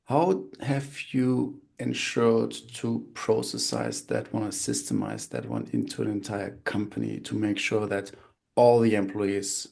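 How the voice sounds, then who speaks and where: A man's voice: lowish voice, animated, unhurried, creaky, a little mellow. A man hosting a podcast interview in an upbeat way, asking long, winding questions. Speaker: podcast host.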